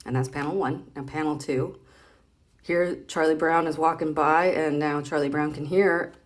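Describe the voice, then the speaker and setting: A woman with a low-pitched voice gives a casual read-aloud. She is chatty and playful, often hesitating and thinking aloud as she explains. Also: steady tone, moderate pace. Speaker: vlogger reading comic strips aloud.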